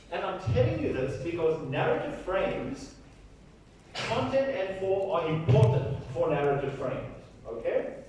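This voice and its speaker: A man's voice: high voice, a little mellow, far from the mic, slight background noise. A man giving a lecture, often checking in with his listeners. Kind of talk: academic lecture. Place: large echoey lecture hall. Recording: echoey hall, speaker off-mic.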